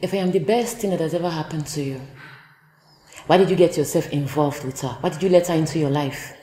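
A woman's voice: sits low, quick pace, mellow, close to an everyday voice. An actress playing a hurt woman who coldly accuses her cheating partner. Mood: bitter. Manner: cold, accusing. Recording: echoey room with faint music.

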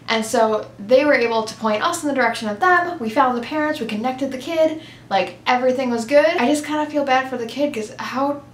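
A woman's voice: on the high side, a bit bright, roomy sound, slight background noise. A young woman in her twenties vlogging casually to camera, upbeat and chatty with lots of "like" and "um" fillers. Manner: chatty, upbeat, many "like" and "um" fillers, laughs often. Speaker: vlogger, mall retail worker. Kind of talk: casual vlog talking to camera. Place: small plain room, indoors, grey backdrop.

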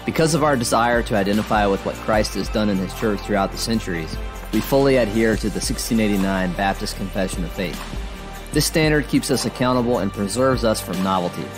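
A man reading a scripted promotional announcement in a smooth, even advertising delivery. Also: fairly low pitch, a little fast. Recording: voice-over with music bed.

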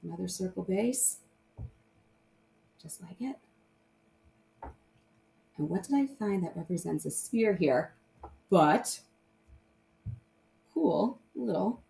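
A woman's voice: medium pitch, animated, slow, vocal fry, long pauses, mellow. A woman teacher explaining things informally to young children, often checking in with short tag questions. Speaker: primary math teacher.

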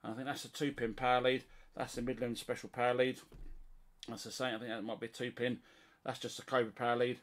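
A man's voice: medium pitch, level tone, quick pace, fairly bright tone. A British man talking casually and colloquially as he gives a rambling hands-on tour of his hobby gear. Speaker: radio hobbyist presenter. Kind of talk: casual hands-on show-and-tell. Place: small indoor room at home, radio shack.

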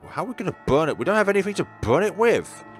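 A man reading a horror game aloud and commenting on it in a theatrical way, given to sudden loud outbursts. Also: sits high, big pitch swings, fast. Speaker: let's player. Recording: background music behind the voice. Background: game music.

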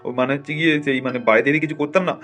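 A man's voice: on the high side, fairly even intonation, racing, a bit bright, faint music behind, natural voice. A man voicing a sheepish, lecherous village widower in a comic cartoon, pleading in a stammering, evasive, servile way.

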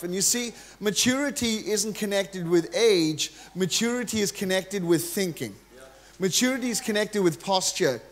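A middle-aged man with a Welsh accent delivers an energetic, humorous sermon, his voice sitting notably high for a man. He speaks into a handheld mic on a large church stage. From Wales. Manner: energetic, joking preacher, gestures freely while pacing the stage. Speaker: guest preacher.

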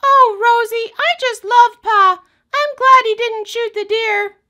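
Super high squeaky little puppet dog, sweet n emotional, kinda slow, piercing bright. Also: female voice, full character voice. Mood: relieved, adoring. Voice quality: squeaky, childlike. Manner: emotional, gushing, exclaiming. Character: sweet, tender-hearted, excitable little dog puppet character. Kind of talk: children's puppet show.

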